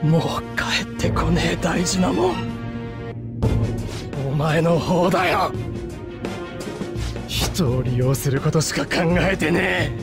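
A man's voice: on the high side, slow, pauses often, over loud music. An actor playing a hot-headed teenage delinquent boy in a game drama, talking coarse, blunt slang. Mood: bitter, angry. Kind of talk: Japanese anime-game dramatic acting.

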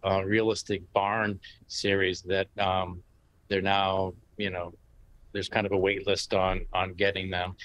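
Older American male guest, sixties, lower register, conversational answers over video call. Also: fairly bright tone. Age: 60s. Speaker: interview guest, painter. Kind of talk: casual conversational interview answers. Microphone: video call, sitting back from the device.

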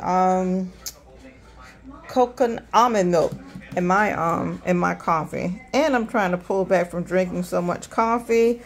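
Chatty woman hosting a grocery haul. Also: mid-range voice. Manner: chatty, many ums, talks fast. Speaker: host of a budget vlog. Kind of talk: casual grocery haul vlog. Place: indoors at a home kitchen counter. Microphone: handheld phone filming items.

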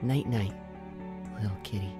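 A woman's voice: very deep voice, a little slow, over music. A woman voicing an earnest, bashful young male cartoon hedgehog in a comic dub. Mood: tender.

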